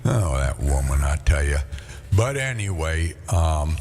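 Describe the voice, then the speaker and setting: A man's voice: very deep voice, sing-song, a little slow, a little mellow. A man hosting a local radio talk show from a studio, chatting casually and teasing his guest. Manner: chatty, teasing, mimics an auctioneer's chant. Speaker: radio host.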